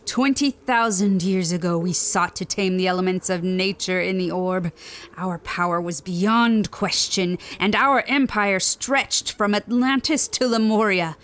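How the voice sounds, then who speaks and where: An actress playing a grave, ancient elder of a lost civilization in an audio drama, solemn and stately. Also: female voice, mid-range voice, a bit bright.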